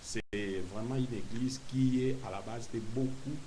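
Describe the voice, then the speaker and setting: A man from Kinshasa, a guest preacher recounting his conversion in an interview, speaking hesitantly with many pauses. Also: mid-range voice, steady tone, mellow. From Kinshasa, Congo. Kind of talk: testimony interview. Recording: hissy broadcast recording.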